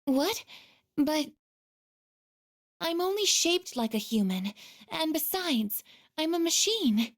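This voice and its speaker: A soft earnest robot girl from a video game, kinda high voice, really slow and polite. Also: sing-song, heavily acted. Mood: flustered, curious. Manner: polite, formal, measured, softly introspective. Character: gentle earnest female android shaped like a teenage girl.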